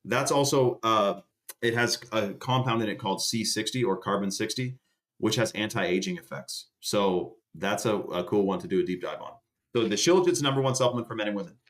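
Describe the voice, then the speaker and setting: Male host, around thirty, lowish voice, casual salesy livestream patter. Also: fairly quick. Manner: chatty, salesy, says dude and man a lot. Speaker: channel host reading a sponsor ad. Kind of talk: casual livestream sponsor pitch.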